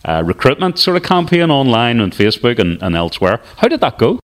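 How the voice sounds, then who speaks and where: A man's voice: medium pitch, sing-song, fairly quick. A man with a Northern Irish accent hosting and presenting on community radio. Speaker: radio show host.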